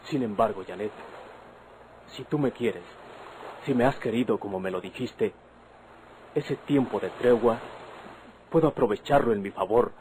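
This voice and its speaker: Guy playing earnest mexican husband in old radionovela, lowish voice, formal and persuasive, bit of background noise. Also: warm tone, natural voice. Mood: pleading, earnest. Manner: measured, persuasive, formal diction. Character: earnest young husband, bandit's son, pleading with his wife. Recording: noisy vintage radio drama recording.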